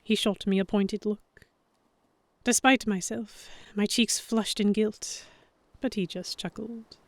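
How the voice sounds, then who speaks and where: A mid-range female voice from an actress narrates as the earnest heroine of a historical audio drama. She is measured, introspective and self-conscious. The sound is very dry, with no room echo. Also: medium pitch, steady tone. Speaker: narrator and character. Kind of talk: scripted first-person audio drama narration.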